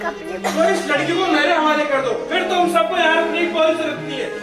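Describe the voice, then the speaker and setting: A man's voice: extremely high pitch, fairly even intonation, a little fast. An actor playing a menacing, power-hungry village strongman politician on stage, with a domineering, rhetorical way of talking. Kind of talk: projected stage acting. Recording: distant echoey theatre hall with music.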